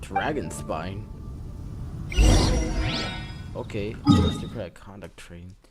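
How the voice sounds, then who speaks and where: A woman with an extremely low voice commentates casually on a game. She mutters and repeats short phrases as she goes. Loud music runs underneath her voice. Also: moderate pace. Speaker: gamer playing through a story quest.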